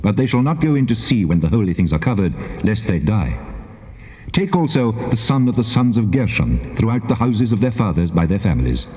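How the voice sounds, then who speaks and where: A solemn, commanding God, low and mellow. He delivers archaic scripture with stately, measured authority. The sound is an old cassette drenched in long reverb. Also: very animated, highs cut off. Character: solemn, commanding God giving instructions to his people. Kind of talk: dramatized scripture reading in archaic English.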